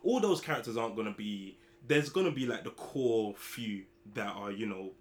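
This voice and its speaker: Low-voiced young man bantering. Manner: relaxed slang-heavy banter, quick to agree and laugh. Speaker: podcast co-host. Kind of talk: casual chat.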